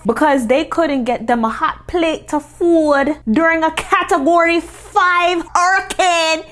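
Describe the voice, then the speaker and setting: A woman's voice: very high voice, fairly even intonation, a little slow, a bit bright. A young Caribbean-American woman hosting a casual commentary vlog, playfully slipping between an American accent and Trinidadian Caribbean dialect and slang. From United States, Caribbean roots. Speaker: commentary YouTuber.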